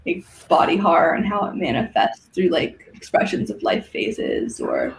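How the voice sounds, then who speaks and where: An interview guest talking casually and thoughtfully, in a halting way, with a roomy echo. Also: mid-range voice, big pitch swings, gravelly, a little hiss or hum. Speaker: guest, organizer of a fiction podcast and symposium. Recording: roomy, echoey sound.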